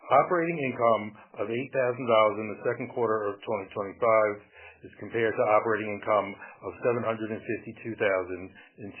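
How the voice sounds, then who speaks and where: A male finance executive reading a scripted financial report on an earnings call in a formal, businesslike manner. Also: lowish voice. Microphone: telephone conference call. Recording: noisy, tinny phone conference line.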